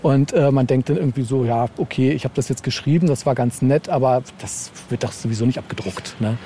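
A man's voice: medium pitch, fairly quick, warm tone, a little hiss or hum. A man chatting casually as an interviewed author, rambling and often tagging phrases with a questioning filler. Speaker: interviewed novelist. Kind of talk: casual podcast interview chat.

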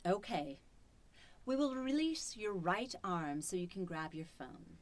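A woman playing a sinister, controlling household robot in old-time radio horror style, deliberate and condescending. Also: on the low side, big pitch swings. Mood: condescending, coaxing.

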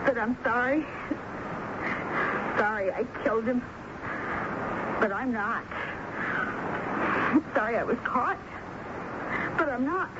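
Anxious female suspect, radio drama: high, hesitant, stammering, plaintive, dull noisy broadcast. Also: slow pace, pauses often. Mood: subdued, wistful. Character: nervous, defensive female typist accused of murder. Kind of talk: 1970s radio drama acting.

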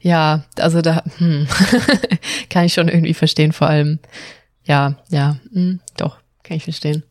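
A young woman chatting casually, full of fillers and quick laughs. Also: sits low, level tone, darkish tone, no room sound, studio quality. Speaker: co-host. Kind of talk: casual chat. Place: living in Japan.

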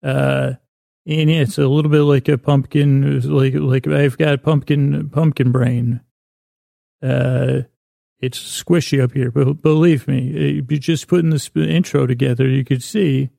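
A man hosting a bedtime podcast in a meandering, halting monologue, repeating and tripping over words, whimsical and self-deprecating, with a creaky voice. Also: medium pitch, little pitch movement, dark tone, dry recording, clean studio sound. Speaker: podcast host.

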